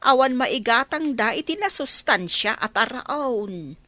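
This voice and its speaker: A woman's voice: a little high, expressive, unhurried, bright, forceful tone, no room sound. A Filipino woman acting a worried, disapproving mother in a radio drama, with a Filipino accent.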